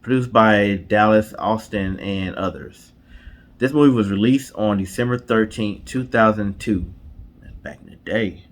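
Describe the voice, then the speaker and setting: Low-voiced man co-hosting casually. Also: unhurried. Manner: colloquial, repeats himself, frequent "you know". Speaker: co-host of a storytime show. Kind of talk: casual couple chat.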